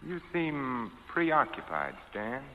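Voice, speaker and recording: A suave, genteel hunting host speaks with animated intonation and veiled menace, all false concern. It is 1940s radio suspense acting, heard through a muffled, reverberant broadcast recording. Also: male voice, medium pitch, slow pace, a little hiss or hum. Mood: sly, needling. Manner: urbane, suave, falsely solicitous.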